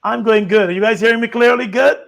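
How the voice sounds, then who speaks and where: A middle-aged man hosting a live online panel, relaxed and hesitant with many fillers. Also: very high voice, quick pace, creaky. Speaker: host. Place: indoors in front of a green screen.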